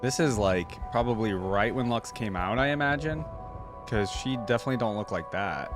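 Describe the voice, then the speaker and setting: A man's voice: lowish voice, animated, normal speed, over music. A man hosting a reaction video with informal, conversational commentary. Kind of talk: casual commentary and story read-aloud.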